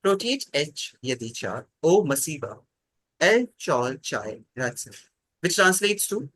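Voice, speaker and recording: Bright, animated male quiz host. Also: a little high, no echo, poor audio. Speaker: quiz host reading questions. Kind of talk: scripted quiz question reading.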